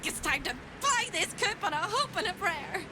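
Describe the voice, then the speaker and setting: An actress playing a manic, long-tortured prisoner in a video game, babbling with theatrical, brittle cheer. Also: female voice, extremely high pitch, lively intonation, moderate pace, noisy background. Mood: urgent, excitable. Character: manic, chirpy, long-tortured female prisoner clinging to optimism. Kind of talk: video game character acting. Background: background music.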